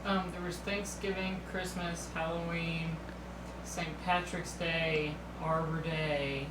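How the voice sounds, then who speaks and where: A woman speaks casually from well off the microphone. Her delivery is slow and fairly monotone. The room is echoey and the recording noisy. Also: on the low side, distant mic. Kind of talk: casual off-mic remarks.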